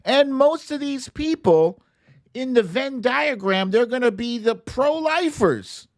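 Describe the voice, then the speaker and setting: A man's voice: very high-pitched, lively intonation, slow pace, no room sound, clean studio sound. An American man hosting an online political commentary show, talking casually, bluntly and emphatically, with some swearing.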